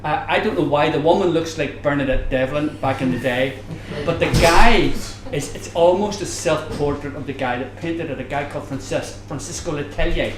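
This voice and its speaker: A man's voice: fairly high pitch, normal speed, hardly any pauses. An older man with a Northern Irish accent talking conversationally on a panel, explaining through anecdotes and digressions. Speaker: panelist, academic. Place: bar venue, live audience discussion. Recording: echoey room, background noise, live event.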